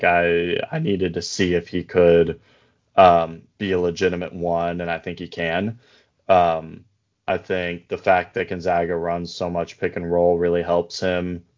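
A hoarse man with a very deep, flat voice talks basketball in a hesitant, low-key way. He pauses often and restarts his sentences. The audio is slightly dull and lo-fi. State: hoarse, voice worn from yelling. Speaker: basketball draft podcast host; coach. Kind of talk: casual sports analysis chat.

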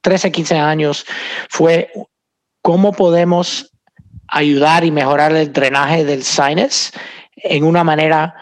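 Hesitant man, higher voice. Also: fairly even intonation, takes it slowly, no room sound. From United States (raised in Miami). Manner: hesitant, many filler sounds. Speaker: doctor and founder, guest. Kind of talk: interview answers.

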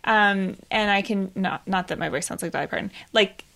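A woman's voice: medium pitch, moderate pace, gravelly, fairly bright tone. Chatty woman who laughs easily. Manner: chatty, laughs often, rambling. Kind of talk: casual chat.

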